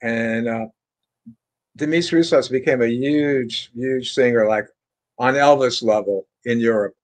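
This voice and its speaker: An older man from France, a guitarist guest, telling stories in a relaxed, rambling way with many fillers and restarts, speaking over a video call from a room lined with bookshelves. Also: medium pitch, a little slow. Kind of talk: casual interview storytelling.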